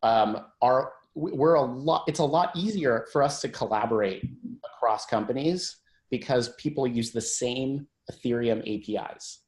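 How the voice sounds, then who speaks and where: A man's voice: medium pitch, moderate pace, echoey room. A man who works as a tech executive, giving an informal presentation on a conference call.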